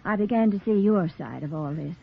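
A woman's voice: medium pitch, animated, brisk, gravelly, husky. A woman playing an anxious, contrite middle-aged wife, in 1940s radio drama style. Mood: worried, tender. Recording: muffled, tinny 1940s radio recording.

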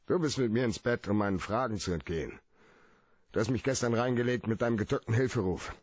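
An actor playing a hard-boiled, cynical private detective in a radio play, with a rough-edged voice and a blunt way of arguing point by point. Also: male voice, fairly low pitch, fast, dry recording. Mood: accusing, heated.

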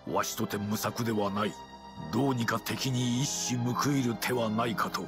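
A man's voice: medium pitch, steady tone, normal speed, lightly acted. An older man voicing a resolute, dignified old king in a video game, speaking weighty, archaic lines with commanding authority. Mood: grave, authoritative. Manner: stately archaic speech, weighty delivery. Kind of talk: scripted video game voice acting. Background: game music.

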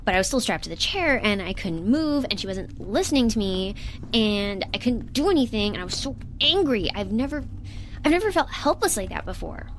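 A woman playing an earnest, excitable young intern who talks casually and emphatically in an audio drama. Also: medium pitch, fairly quick, a little hiss or hum, lightly acted. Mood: indignant. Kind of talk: naturalistic audio drama acting.

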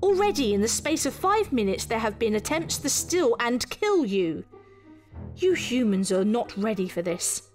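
A woman's voice: on the high side, very animated, a little mellow, faint music behind. A woman voicing a stern, commanding female reptilian detective with an imperious manner, in an audio story. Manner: slow, imperious.

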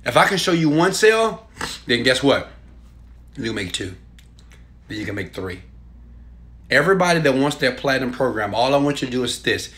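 A man in his thirties, filmed on a phone held at arm's length in a small room at home, giving a hyped and preachy sales pitch with loud bursts and quieter asides. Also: sing-song, normal speed, pauses often. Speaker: online marketing coach. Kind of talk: selfie video sales pitch.

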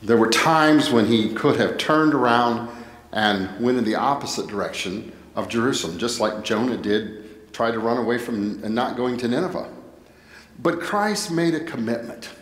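A middle-aged American man giving a sermon as a pastor, speaking deliberately in short phrases with pauses. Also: mid-range voice. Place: indoor church, at a pulpit. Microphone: headset microphone. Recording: echoey church with long reverb.

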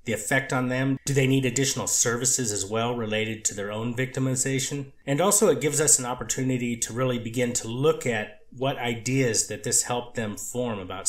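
A man, a treatment clinician, testifying as a witness in court with measured, careful answers. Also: mid-range voice. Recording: echoey courtroom recording.

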